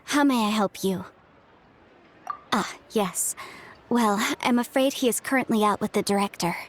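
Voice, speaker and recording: Woman playing a polite, mid-pitched receptionist. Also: moderate pace, a little hiss or hum, close to an everyday voice. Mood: polite, tactful. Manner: polite, tactful, formal. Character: polite, helpful female receptionist at a business. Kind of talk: video game cutscene acting.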